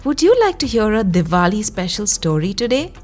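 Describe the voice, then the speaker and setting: A kindly old grandmother from a children's storybook, put on by a woman. She is animated and affectionate as she passes on wisdom to her grandchild. Music runs underneath her words. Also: medium pitch, fairly quick, close to an everyday voice. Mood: playful storytelling. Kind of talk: storybook character voice.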